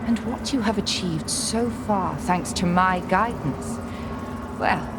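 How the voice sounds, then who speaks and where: Slow, imperious noblewoman. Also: lowish voice, very noisy. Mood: smug, haughty. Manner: haughty, measured oratory. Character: smug, imperious woman leader of decadent nobles. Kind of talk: theatrical speech in a video game.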